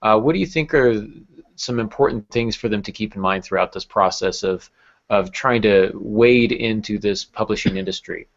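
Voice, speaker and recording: Male host for casual discussion show, deeper register, slightly dulled audio. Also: moderate pace, vocal fry. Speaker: podcast host. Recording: dull, lo-fi podcast audio.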